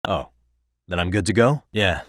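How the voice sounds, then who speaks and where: A deep-voiced American man a streetwise, principled detective in a video game. He talks casually with a wry, determined edge, and the sound is dry and close. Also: animated, moderate pace, dry recording. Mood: focused, casual. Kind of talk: video game voice acting, naturalistic dialogue.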